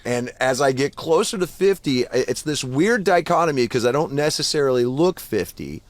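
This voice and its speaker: A man of about fifty, a guest musician, chatting casually on a podcast and telling animated stories full of swearing. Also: mid-range voice, moderate pace, no echo. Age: 50s. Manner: profane, animated storytelling.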